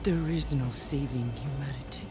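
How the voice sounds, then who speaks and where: A woman playing a menacing, zealous warlord villain in a video game cutscene, speaking in a taunting, theatrical, deliberate way. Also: rumbling low. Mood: fervent contempt. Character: menacing, zealous female warlord villain who scorns humanity. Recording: loud music behind the voice.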